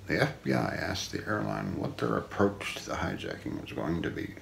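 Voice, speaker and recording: Deep, gravelly man narrating true crime ASMR. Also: sing-song, normal speed, slight background noise. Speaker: narrator of an unsolved-mystery story. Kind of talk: ASMR scripted narration, true-crime documentary role play. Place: small indoor room.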